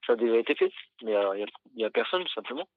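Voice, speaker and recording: Very fast-talking young man on lo-fi call. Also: mid-range voice, lively intonation, dry recording. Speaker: caller seeking a wife. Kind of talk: casual call-in chat. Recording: dull lo-fi call audio.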